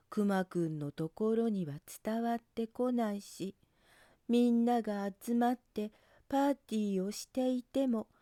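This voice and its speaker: A woman reads a children's picture book very slowly and gently. Her voice is fairly high yet soft and mellow, with careful storytelling delivery. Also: no room sound, studio quality.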